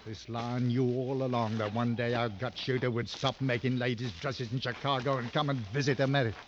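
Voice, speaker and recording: An actor in an old radio drama playing a weary, sardonic big game hunter with a gruff, brooding manner. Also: male voice, mid-range voice, little pitch movement, brisk, close to an everyday voice. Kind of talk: 1940s-60s radio drama acting. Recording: dull, noisy old radio recording.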